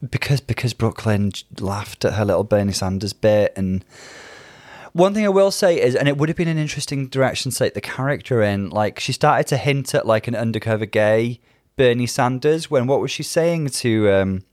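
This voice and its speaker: A man's voice: mid-range voice, animated, moderate pace, darkish tone, dry recording, studio quality. A man co-hosting a casual review podcast, chatty and opinionated, with plenty of fillers. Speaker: podcast co-host.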